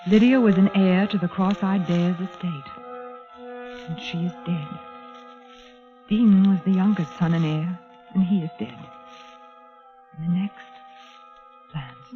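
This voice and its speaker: Actress playing an anxious, determined young radio-drama heroine. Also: female voice, fairly low pitch, fairly even intonation, unhurried, long pauses, close to an everyday voice. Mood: anxious, brooding. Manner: earnest, urgent, emphatic delivery. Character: anxious, determined young woman hunting a missing man. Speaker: lead actress. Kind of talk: old-time radio drama acting. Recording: muffled vintage radio recording, music behind.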